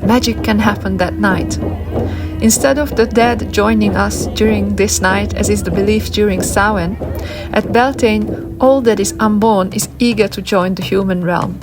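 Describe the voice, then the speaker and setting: A woman's voice: medium pitch, steady tone, moderate pace. A woman giving a scripted documentary-style narration. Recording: music under the voice. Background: music.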